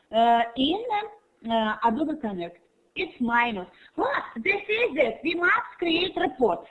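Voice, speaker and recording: An older woman whose first language is German, a human resources teacher, speaking effusive, rambling, halting English in an online conference chat. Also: high voice. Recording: tinny phone-like online call audio.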